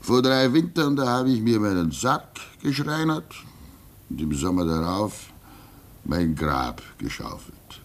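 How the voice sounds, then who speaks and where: A man in his sixties playing a weary, pious old keeper at a polar station in a radio play, speaking wistfully with soft laughs. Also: fairly low pitch, sing-song, measured pace. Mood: reflective. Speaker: actor.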